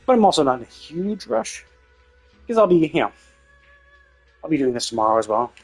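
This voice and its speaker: A man's voice: a little high, big pitch swings, a little fast, husky, soft background music. A man live streaming a game, talking casually in a rambling, opinionated way with some swearing.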